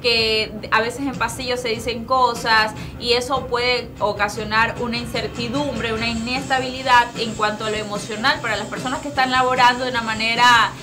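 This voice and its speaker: Female co-host, measured tone. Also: a little high, steady tone. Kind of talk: studio commentary. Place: TV studio set with sofa. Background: background music.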